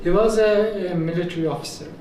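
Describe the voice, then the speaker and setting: A man from Iran whose first language is Azerbaijani Turkish, a professor answering interview questions in a measured way with frequent fillers. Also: sits high, darkish tone. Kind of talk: conversational interview. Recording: echoey room, mic at a distance, faint noise.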